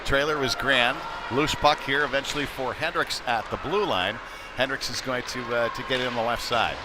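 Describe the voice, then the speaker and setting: A man doing live, conversational play-by-play commentary of youth hockey, excitable on big plays. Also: mid-range voice, normal speed. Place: indoor ice rink, live broadcast. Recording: clear background noise of sports arena.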